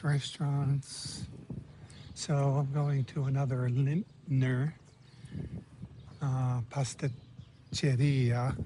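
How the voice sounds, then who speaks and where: Male travel vlogger, relaxed warm tone, casual walk-and-talk outdoors with quiet whispered remarks. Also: medium pitch, slight background noise. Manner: chatty, muttering asides, sometimes whispering. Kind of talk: casual walking vlog commentary. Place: outdoors in a village street and on a café terrace.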